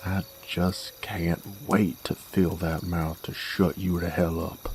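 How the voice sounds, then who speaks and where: A very deep-voiced werewolf bounty hunter, played by a man, drawls in a slow American Western accent. He is cocky and gruff, with a folksy way of taunting, as in an audio drama. Insect ambience sits behind the voice. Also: flat delivery, darkish tone, a little hiss or hum. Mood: irritated, gruff. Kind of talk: roleplay audio drama monologue, addressed to a captive.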